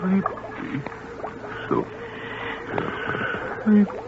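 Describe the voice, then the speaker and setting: An actor playing a trusting, drowsy young son in a 1940s radio drama, speaking hesitantly. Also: male voice, sits high, wildly swooping pitch, extremely slow, gravelly, heavily acted. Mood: drowsy, confused. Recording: very noisy, muffled old radio recording.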